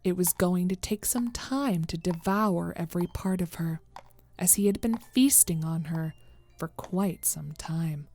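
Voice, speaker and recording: A woman's voice: on the low side, a little mellow. A woman narrating a scripted horror story as its storyteller.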